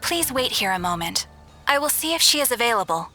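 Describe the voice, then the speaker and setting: A woman voicing a polite, helpful guild receptionist in an anime video game, measured and courteous. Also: fairly high pitch, big pitch swings. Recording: loud background music.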